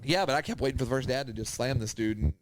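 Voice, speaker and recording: A man's voice: medium pitch, rapid-fire. A man telling casual anecdotes as a podcast host, animatedly acting out what people said and swearing freely. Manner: animated retelling with acted-out quotes, swearing. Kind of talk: casual chat, anecdotal storytelling.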